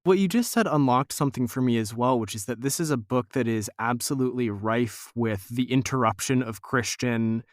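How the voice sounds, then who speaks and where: A man with a medium-pitched voice hosts a thoughtful interview. He is articulate and reflective, choosing fairly formal words. The recording is dry, with very little room sound. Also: moderate pace, few pauses, no room sound. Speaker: podcast host, interviewer.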